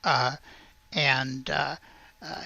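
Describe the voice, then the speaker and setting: A game master improvising tabletop narration in a casual, halting, conversational way. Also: medium pitch, fairly even intonation, creaky, sharp tone, breathy edge, no echo.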